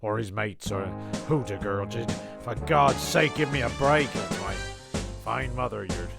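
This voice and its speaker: Guy as rambling comedy narrator, lots of creak, halting n self important, music behind. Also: lowish voice, animated. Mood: indignant. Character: rambling, indignant podcast narrator telling absurd anecdotes. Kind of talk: comic monologue and scripted podcast.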